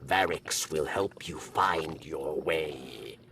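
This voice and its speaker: A man playing a solemn, wary alien exile in a video game, with a deep, gravelly voice and a slow, halting, grave delivery. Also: recorded from a distance, background noise, exaggerated voice. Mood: grave, urgent. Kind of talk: dramatic video game cutscene acting.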